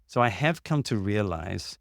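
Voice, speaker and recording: Deep-voiced man explaining calmly. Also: creaky, no room sound, studio quality. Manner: measured, explanatory, with frequent fillers. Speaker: podcast host and clinician. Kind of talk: casual conversational explanation.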